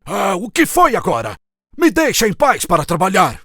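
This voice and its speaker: A man's voice: high voice, huge pitch swings, normal speed, a bit bright, no echo, clean studio sound, exaggerated voice. A man voicing a grumpy, miserly old man in a children's cartoon story, scolding theatrically. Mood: angry. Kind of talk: animated children's story acting.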